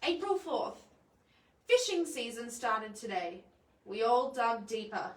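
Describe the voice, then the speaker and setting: A woman reading a children's picture book aloud in a playful, expressive way, adding chatty asides for young listeners. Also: a little high, takes it slowly. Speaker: library storytime presenter. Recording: echoey room, distant microphone.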